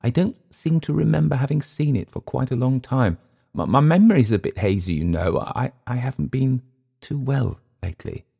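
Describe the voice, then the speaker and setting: A bitter Englishman gone to seed , acted out by a male audiobook reader. His voice is lifted well above a low narrating register, with a volatile, mocking edge. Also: lively intonation, moderate pace, darkish tone. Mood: embarrassed.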